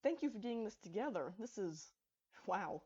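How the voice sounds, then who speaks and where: A woman's voice: mid-range voice, animated, moderate pace, a little mellow, slightly rough, muffled recording. A woman voicing a friendly, easygoing female unicorn pony in a story reading. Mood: grateful, casual. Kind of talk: fan fiction story reading.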